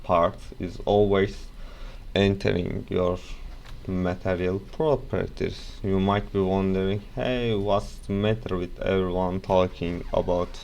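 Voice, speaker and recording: A young man with a Turkish accent talks slowly and loosely in a deep voice, correcting himself and wandering into asides. Faint background noise and keyboard typing sit under his voice. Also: level tone, gravelly, a little mellow. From Turkey. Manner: rambling, self-correcting, casual asides. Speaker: software tutorial presenter. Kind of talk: casual screen-recorded software tutorial.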